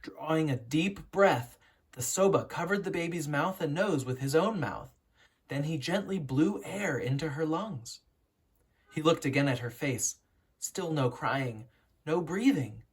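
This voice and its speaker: Expressive woman storyteller with a husky edge. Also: sits low. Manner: dramatic, deliberate storytelling with emphatic pauses. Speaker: teacher reading a picture book aloud. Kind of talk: expressive children's storybook narration.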